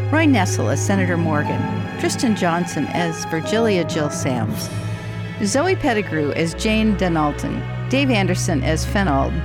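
A woman announcer reading scripted closing narration and credits for a radio drama. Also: lowish voice. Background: theme music.

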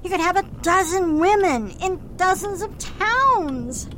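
A woman's voice: very high voice, expressive, unhurried, a bit bright, a little hiss or hum, full character voice. A woman playing a stern, disapproving mother and landlady in an amateur radio drama, scolding and forbidding.

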